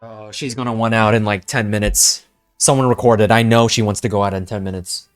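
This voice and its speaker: A young man reacting live to a video game, casual and slangy with excitable exclamations. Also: lowish voice, brisk, clean tone, soft background music.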